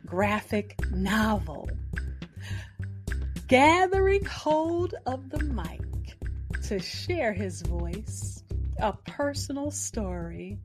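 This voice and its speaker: A woman's voice: a little high, very animated, extremely slow. A woman hosting a show, delivering a scripted dramatic introduction. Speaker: show host. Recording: music under the voice.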